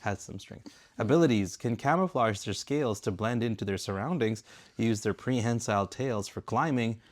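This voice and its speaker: Man reading aloud at an even pitch. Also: moderate pace. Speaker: father reading aloud with his son. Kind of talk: bedtime story read-aloud.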